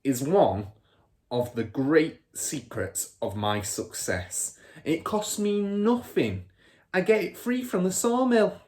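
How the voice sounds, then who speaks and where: A man's voice: fairly high pitch, slow pace, a little mellow, voice pushed up. A man voicing a boastful, crooked middle-aged car dealer and rude father in a storybook, bragging and scornful with heavy emphasis.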